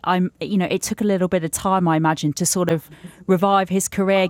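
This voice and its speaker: Low-voiced woman football reporter. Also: dry recording. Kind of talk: broadcast interviewing and reporting.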